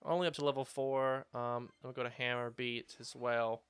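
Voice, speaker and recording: A slightly hoarse man hosting a video game playthrough, chatting casually with lots of fillers while standing at his home setup. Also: mid-range voice, level tone, a little fast, a bit bright. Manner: rambling, filler-heavy, upbeat. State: slightly hoarse after surgery. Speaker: let's play host. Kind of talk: casual gameplay commentary.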